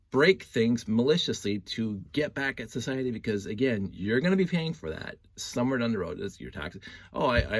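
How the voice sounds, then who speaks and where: A man's voice: medium pitch, no echo. A man in his fifties giving a casual, opinionated monologue, earnest and often interrupting himself as he thinks. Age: 50s. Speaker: host of a story-time monologue.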